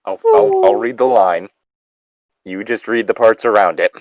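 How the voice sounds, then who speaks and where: A man bantering casually and reading a story aloud haltingly, recorded on a slightly dull mic. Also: lowish voice, huge pitch swings, no echo.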